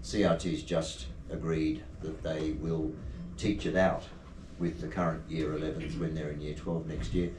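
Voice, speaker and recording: A man giving an earnest, measured short address at a poetry evening, with some ums and uhs. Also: rumbling low, level tone, darkish tone. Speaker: community advocate. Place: indoor venue at a poetry evening. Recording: echoey room, distant mic, noisy.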